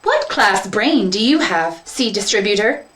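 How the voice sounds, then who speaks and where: A woman voicing a big, logical farm robot with a stiff, measured, superior robotic delivery. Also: mid-range voice, expressive, a bit bright, voice pushed up. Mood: flat, officious.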